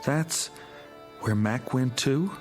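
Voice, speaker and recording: A man's voice: medium pitch, slow pace, soft background music, lightly acted. An actor playing a worried, questioning father in a radio drama, earnest and eager to act. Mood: puzzled, worried. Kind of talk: modern radio drama acting.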